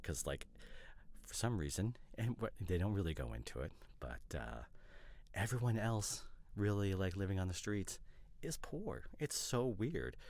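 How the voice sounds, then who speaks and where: A man playing an opinionated, teasing boyfriend in an ASMR roleplay, chatting casually in a hesitant, rambling way with mock seriousness and a gravelly voice. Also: sits low, darkish tone, dry recording. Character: opinionated, teasing boyfriend debating couple's costume ideas.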